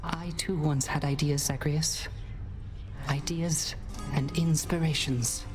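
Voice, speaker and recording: Sad dead musician in a game, wistful poetic talk, guitar music behind him. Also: male voice, a little high, steady tone, slow. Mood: wistful, sorrowful. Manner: mournful, poetic phrasing. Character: melancholy dead musician, wistful, gentle and resigned. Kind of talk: video game character dialogue.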